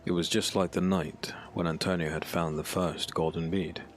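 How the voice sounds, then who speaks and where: A man narrating a dark fantasy audiobook in a solemn, dramatic, scripted style. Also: deep voice, little pitch movement, normal speed, a little hiss or hum. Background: background music.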